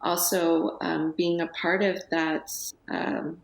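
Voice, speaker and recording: Soft-spoken woman, low and slow. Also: level tone, vocal fry, echoey room, poor audio. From United States. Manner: soft-spoken, hesitant with many fillers. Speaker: guest, business owner. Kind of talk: TV interview. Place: TV studio.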